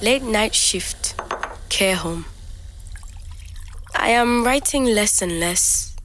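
A woman playing a reflective young migrant, speaking measured, introspective fragments as a scripted audio diary. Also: medium pitch, fairly bright tone. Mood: searching, melancholic. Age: young adult. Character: reflective young African migrant woman recording private night-time notes.

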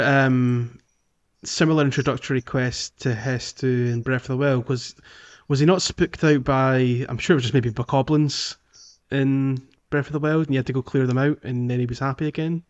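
A man co-hosting a casual video game podcast, talking in an animated way and repeating phrases for emphasis. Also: medium pitch, moderate pace, lo-fi sound. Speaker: podcast co-host.

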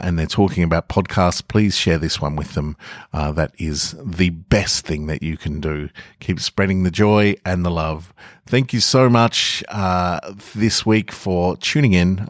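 A man hosting a podcast, narrating a story in a gentle, unhurried way and adding loose fillers when he speaks off script. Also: sits low, no echo, clean studio sound.

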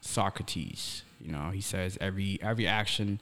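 A man's voice: low-pitched, moderate pace, a bit bright. A young man from Somalia chatting casually as a podcast co-host, earnest and philosophical, often repeating words as he searches for the next one. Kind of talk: casual reflective conversation. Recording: echoey hall-like reverb.

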